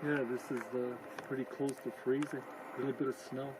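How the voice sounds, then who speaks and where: A man's voice: medium pitch, level tone, brisk, background noise. A man in his sixties chatting casually as a hiking companion outdoors, easygoing and prone to trailing off mid-sentence.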